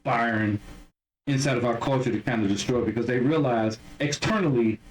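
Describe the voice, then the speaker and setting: A man in a middle register co-hosts a podcast in casual conversation. He talks haltingly, often starting a sentence over before he finds his point. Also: medium pitch, recorded from a distance, poor audio. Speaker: podcast co-host.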